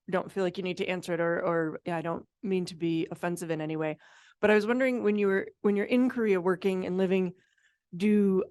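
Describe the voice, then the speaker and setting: A woman's voice: on the low side, a little mellow, dry recording, studio quality. A woman educator from the audience asking a question in a polite, careful, apologetic way.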